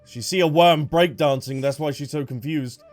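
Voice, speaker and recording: A man's voice: on the high side, moderate pace. A man giving casual gameplay commentary as a streamer, playful and chatty. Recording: game music behind.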